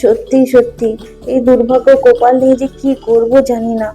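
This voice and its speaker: A woman's voice: fairly high pitch, little pitch movement, normal speed, mellow, close to an everyday voice. Actress as a mournful poor village mother. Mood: grieving. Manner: mournful lamenting. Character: sorrowful poor village mother, lamenting her fate. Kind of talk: cartoon dubbing. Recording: music under the voice.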